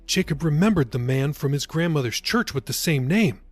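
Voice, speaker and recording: A man narrates a novel in scripted audiobook style. His voice is a little higher than most men's and has a husky edge. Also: animated, moderate pace, no room sound, soft background music.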